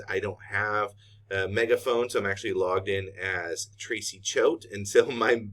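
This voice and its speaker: A middle-aged man, walking through a software tutorial in a casual, unscripted way as a trainer. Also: lowish voice. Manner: casual, unscripted, thinking aloud while clicking. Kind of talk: informal screen-share tutorial.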